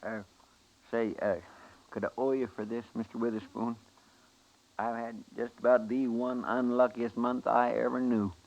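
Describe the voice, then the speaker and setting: A man's voice: medium pitch, little pitch movement, normal speed, vocal fry, a little mellow, close to an everyday voice, rough recording. Folksy, deferential sidekick played by a man. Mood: sheepish. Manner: hesitant, respectful. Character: folksy, deferential marshal's helper, hapless and eager. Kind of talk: 1950s radio western acting.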